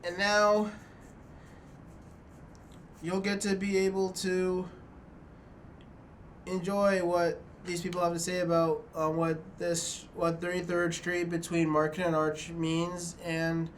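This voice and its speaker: A young man speaks to camera from a small dorm room, his voice sitting a little high for a man. He halts often, restarts phrases and pads with fillers. A faint background hiss is present. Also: monotone. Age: young adult. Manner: halting, many restarts and fillers. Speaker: student filmmaker. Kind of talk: documentary narration to camera.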